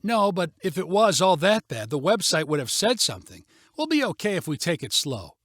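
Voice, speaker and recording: A man's voice: high-pitched, big pitch swings, normal speed, fairly bright tone, no echo, studio quality, full character voice. A man voicing an upbeat, confident mother in a story, with an encouraging, calling-out manner. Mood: reassuring. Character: upbeat, confident mother leading a family hike.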